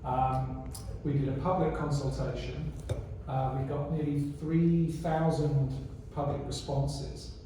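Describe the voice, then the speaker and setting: Man presenting to a conference audience, mellow tone, chatty and unscripted, heard from across a roomy hall. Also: medium pitch, takes it slowly, few pauses. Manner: relaxed, conversational, many restarts and fillers. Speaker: library strategy presenter. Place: conference room. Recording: echoey room, mic far off, faint noise.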